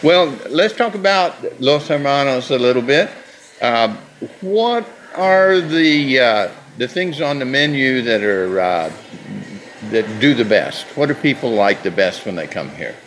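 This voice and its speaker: A man hosting a radio interview on location in a restaurant, asking questions in a relaxed, chatty, joking way. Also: medium pitch, unhurried, a little hiss or hum, poor audio. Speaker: radio host.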